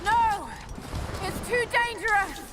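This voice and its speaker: A woman's voice: very high-pitched, takes it slowly, over loud music. An actress playing a brave, driven young woman explorer in a video game, speaking earnestly. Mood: strained, urgent.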